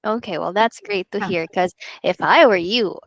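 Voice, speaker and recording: A woman in her twenties hosting a casual interview, playful and quick to laugh as she asks questions. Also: medium pitch, dry recording, muffled recording. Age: 20s. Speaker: podcast host.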